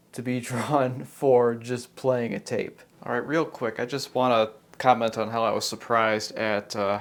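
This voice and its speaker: An American man giving an unscripted, hands-on technical demonstration, talking casually and thinking aloud as he works through it as host. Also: medium pitch. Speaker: host of a repair video channel.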